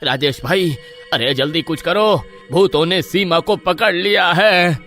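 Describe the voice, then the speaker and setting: A man's voice: a little high, a little slow, pushed and bright, soft background music. A man voicing a panicky comic young villager who wails and shrieks at every danger, in a horror-comedy cartoon. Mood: urgent.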